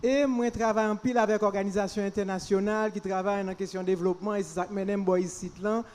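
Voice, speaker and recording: A woman's voice: mid-range voice, fairly even intonation, quick pace, darkish tone. A middle-aged woman from Haiti, a development worker, introducing herself on a panel in a jovial, joking way. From Pétionville, Haiti. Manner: jovial, jokes with the audience.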